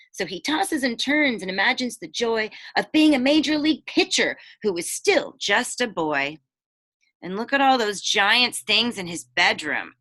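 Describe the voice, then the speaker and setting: A woman teacher reads a bedtime picture book to young children in a bright, mid-pitched voice. Her delivery is expressive and aimed at children. She moves between reading aloud and chatty asides that explain things or put questions to her listeners.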